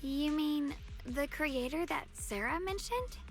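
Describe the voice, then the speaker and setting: A woman's voice: sits high, animated, takes it slowly, faint music behind, heavily acted. A woman voicing an earnest, caring young female adventurer in an anime-style game, eager in her delivery.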